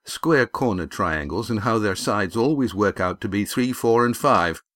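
A man voicing a slim, quick-witted village youth in a storybook, dry, sarcastic and unruffled. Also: fairly low pitch, lively intonation, a bit bright, no echo. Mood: deadpan. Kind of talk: storybook character voice.

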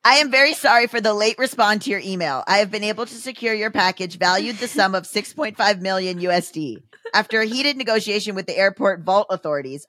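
Comedy reading: terse, pious scammer acted by a woman, stilted and flat, medium pitch, dry sound. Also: no echo, lightly acted.

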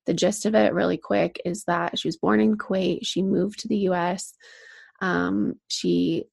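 A woman hosting a podcast, introducing her guest earnestly and softly, with gravelly, husky tones. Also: on the low side, fairly even intonation, a little slow. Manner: earnest, soft, with fillers. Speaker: podcast host.

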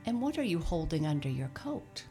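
A woman voicing a brisk, kindly old Santa Claus who speaks heartily and gives cheerful commands. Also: low-pitched, big pitch swings, mellow, faint music behind. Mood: questioning.

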